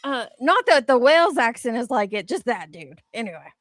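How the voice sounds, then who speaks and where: A woman with a fairly high voice reads comments aloud in a dramatic, casual way. She is irreverent and sweary, slipping into banter as she goes. The sound is very dry, with no room to it. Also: big pitch swings, moderate pace, a bit bright, no room sound. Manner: irreverent, sweary, tries on rough accents for fun. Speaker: co-host reading comments aloud.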